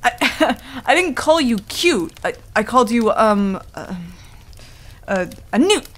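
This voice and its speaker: A woman's voice: a little high, very animated, slow, fairly bright tone, full character voice. A woman playing a stubborn, easily flustered female werewolf who stammers defensively, in an ASMR roleplay. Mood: teasing, flustered. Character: flustered, stubborn tsundere female werewolf, young, secretly affectionate.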